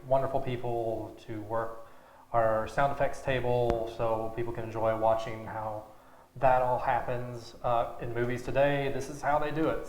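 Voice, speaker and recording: With room echo and a faint noise behind him, a man of medium pitch talks casually in an interview. He explains things in a plain, slightly halting way. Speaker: theater director being interviewed.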